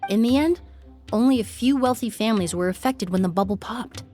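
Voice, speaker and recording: An actress voicing a refined young woman perfumer in a video game, speaking in a measured, articulate way. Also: a little high, dark tone, soft background music, natural voice. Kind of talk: game voice acting.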